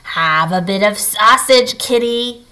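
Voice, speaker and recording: A woman reading a storybook aloud, voicing a small, mischievous male troll in a high, crackly voice. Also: slow pace, pushed and bright. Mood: taunting.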